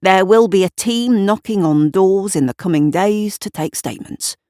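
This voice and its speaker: A woman acts as a police detective in an audio drama. The delivery is calm, formal and authoritative, in a dry studio sound. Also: medium pitch, normal speed, dry recording, natural voice. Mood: official. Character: calm, official police detective addressing onlookers.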